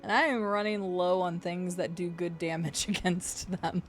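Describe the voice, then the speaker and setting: A young adult woman with a gravelly voice and deep vocal fry, chatting casually while streaming a game. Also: steady tone, normal speed. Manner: chatty, swears and laughs at the game. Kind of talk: casual live-stream chat while gaming. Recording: faint game music behind.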